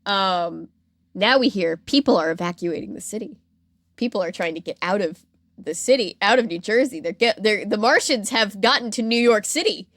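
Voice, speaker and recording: A woman's voice: medium pitch, moderate pace, no room sound. Casual woman podcaster telling a story, dry sound. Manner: animated, slangy, acts out quotes. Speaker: podcast host.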